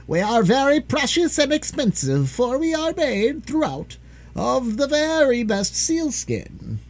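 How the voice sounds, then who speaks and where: A man voicing a crowd of small, fat, spiteful sealskin dwarves in a storybook reading, with a shrill voice and a slow, careless menace. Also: very animated, exaggerated voice. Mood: boastful.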